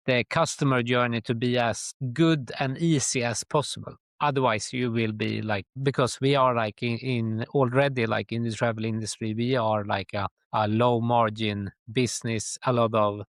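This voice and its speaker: A middle-aged man from Sweden with a Swedish accent, answering interview questions conversationally in a halting way, often dropping in "like". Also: mid-range voice, no room sound, clean studio sound. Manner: halting, with very frequent "like". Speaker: guest, tour company chief executive.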